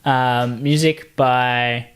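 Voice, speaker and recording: A man co-hosting a podcast, chatting casually and pitching movie ideas in a halting, hesitant way with pauses. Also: fairly even intonation, a bit bright, clear, resonant tone. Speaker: podcast co-host.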